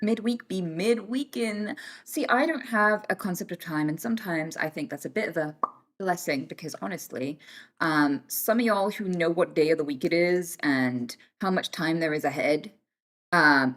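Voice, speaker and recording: A woman's voice: fairly low pitch, lively intonation, moderate pace. A young British woman hosting a livestream, chatting casually and teasing her viewers. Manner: chatty, teasing, thanks viewers warmly by name. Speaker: livestream host. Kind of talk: casual chat with live viewers.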